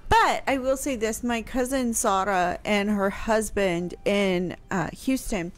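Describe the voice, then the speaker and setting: A woman's voice: mid-range voice, unhurried, a little hiss or hum. A middle-aged American woman in a casual radio discussion, speaking as the show's historian into a close microphone. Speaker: historian and talk show guest expert. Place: home room, indoors. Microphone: close studio mic on boom arm, headphones.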